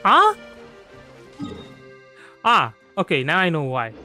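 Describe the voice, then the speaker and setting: Male game streamer, very high register, bright tone, loose rambling chat. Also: huge pitch swings, takes it slowly. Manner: rambling, repeats and restarts phrases often. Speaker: livestreamer. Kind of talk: casual gameplay livestream commentary. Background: soft background music.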